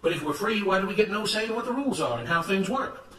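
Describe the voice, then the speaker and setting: A young freed plantation worker in a storybook, played by a male narrator, speaks up with challenging questions. The voice is high and quick, pushing for an answer. The sound is roomy and a little far from the mic. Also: animated, fairly bright tone, slight background noise. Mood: doubtful, challenging.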